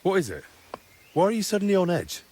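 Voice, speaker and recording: Casting: earnest, probing young medieval man, direct, animated intonation, natural game-drama delivery, some ambient noise. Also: sits high, quick pace. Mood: pressing. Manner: direct, questioning, reassuring yet pressing. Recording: clear background ambient noise.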